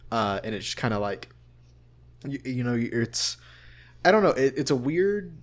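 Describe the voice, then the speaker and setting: A man's voice: medium pitch, sing-song, normal speed. An American man from central Arkansas chatting casually in an interview, thoughtful and rambling as he circles back on his phrasing. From central Arkansas, United States. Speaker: voice actor being interviewed.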